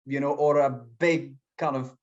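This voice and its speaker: A young man from Syria, Kurdish by mother tongue, talks through an interview in English, halting often with fillers and restarting his phrases. His voice sits at a middle pitch. The audio is a little dull and lo-fi. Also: fairly even intonation. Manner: hesitant, many fillers and restarts. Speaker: guest, English teacher. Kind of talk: casual interview conversation.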